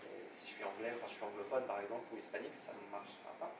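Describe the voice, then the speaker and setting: Fast-talking man in echoey room. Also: on the low side, flat delivery, vocal fry. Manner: rapid, hesitant, many restarts. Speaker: workshop speaker on LinkedIn. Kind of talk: live workshop talk. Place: meeting room at a club. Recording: echoey room, distant mic, noisy.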